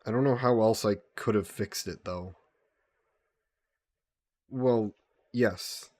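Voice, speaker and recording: A man playing a gentle, guilt-burdened vampire caretaker in an intimate audio roleplay, speaking politely and formally with some hesitation. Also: fairly low pitch, slow, dry recording. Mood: regretful, reflective. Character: gentle, guilt-burdened male vampire employer caring for a guest.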